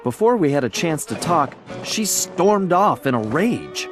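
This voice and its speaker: Animated, wry adventurer-thief narrator. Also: male voice, fairly high pitch. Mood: rueful. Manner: wry storyteller, dramatic pauses. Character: smooth-talking male cartoon thief, adventurous, easygoing gang leader. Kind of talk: dramatic storytelling narration for a video game. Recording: background music under the voice.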